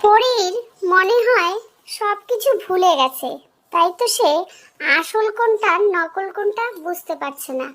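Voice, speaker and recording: A woman voicing a helpful fox friend in a children's cartoon, explaining things in a gently bossy way. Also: very high-pitched, a little slow.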